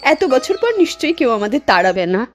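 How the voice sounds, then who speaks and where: A woman voices a polite cartoon mother, an anxious newcomer from the city. Her earnest voice is high and very animated in pitch, with music in the background. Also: a little fast. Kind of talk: Bengali horror cartoon dubbing.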